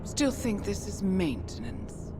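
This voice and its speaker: A woman's voice: medium pitch, very animated, slow, over loud music. A woman playing a composed, wry handler who guides an assassin in a video game, poised and precise. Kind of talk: video game mission briefing.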